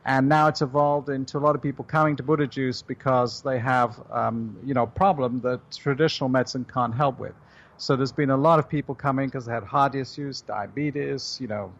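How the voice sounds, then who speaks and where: A middle-aged man with a British accent, a company chief answering interview questions on the radio in a measured way. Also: mid-range voice, muffled recording. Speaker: company CEO guest.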